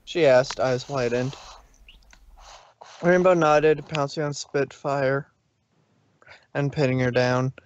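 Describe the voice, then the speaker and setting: A man reading scripted fan fiction as a storybook narrator, with a measured, dramatic delivery. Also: mid-range voice, fairly even intonation, clean tone, lo-fi sound.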